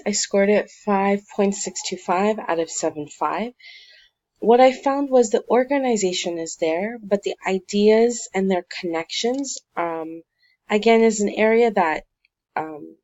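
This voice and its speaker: A woman teacher calmly going through essay feedback, encouraging but critical, with frequent fillers. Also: mid-range voice, muffled recording. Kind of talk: recorded one-to-one feedback on a student essay.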